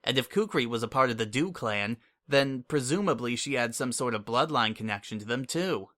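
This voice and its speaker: A man reading a fantasy adventure aloud, telling it in first person at an easy, conversational pace. His voice sits mid-range with a bright, forward tone. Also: medium pitch, animated, a little fast. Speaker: story reader. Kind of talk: dramatic audiobook narration.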